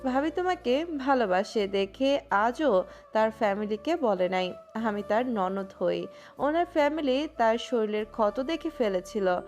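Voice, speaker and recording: A woman playing a resentful, outspoken younger sister in a romantic audio story, deliberate and reproachful. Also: on the high side, darkish tone.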